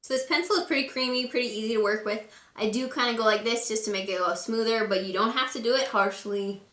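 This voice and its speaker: A woman with a raspy voice today, casually talking through a makeup tutorial at home. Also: a little high, fast, roomy sound, soft background music. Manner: chatty, relaxed and instructive, with frequent fillers. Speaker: beauty vlogger. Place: room at home.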